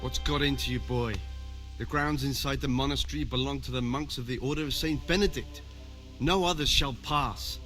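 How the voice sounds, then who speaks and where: A man playing a plain-spoken, gruff but helpful medieval gatekeeper at a monastery in a video game. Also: medium pitch, fairly even intonation, moderate pace, bright, forceful tone, faint music behind, natural voice. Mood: gruff, forbidding. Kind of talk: cinematic game dialogue.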